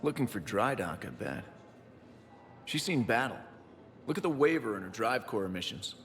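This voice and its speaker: An actor playing a grieving, loyal military pilot in a sci-fi game, reflective, subdued and sincere. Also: male voice, lowish voice, very animated, normal speed, a bit bright, long reverb tail, a little hiss or hum. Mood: wistful. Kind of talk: video game voice acting.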